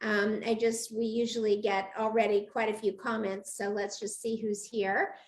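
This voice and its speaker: A woman's voice: mid-range voice, little pitch movement, normal speed. An older woman hosting a chatty livestream interview, effusive and welcoming with her guest. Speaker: live show host. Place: video call livestream.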